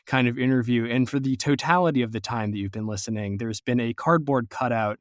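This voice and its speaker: Man, podcast host, medium pitch, dry studio-like sound, conversational, wordy, playfully wry. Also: fairly quick, dry recording. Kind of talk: conversational interview hosting.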